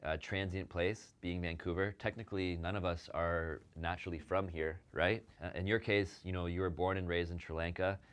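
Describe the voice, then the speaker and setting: A man's voice: deep voice, steady tone, moderate pace, hardly any pauses, smooth. A man hosting an interview, speaking casually and praising his guest with many fillers. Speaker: show host and agency owner.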